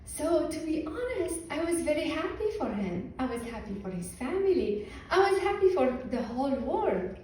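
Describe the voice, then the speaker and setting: Woman in a booming hall. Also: sing-song, darkish tone, a little hiss or hum. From Syria. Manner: wry, deliberate, with pauses for effect. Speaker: physician giving a talk. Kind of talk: humorous storytelling speech. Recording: echoey hall from far off.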